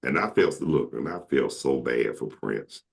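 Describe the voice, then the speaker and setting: A man with a deep, carrying voice telling casual, joking stories to friends as a talk show host, slangy and quoting people as he goes. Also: lively intonation, unhurried, vocal fry. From southern United States. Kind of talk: casual comic storytelling with friends.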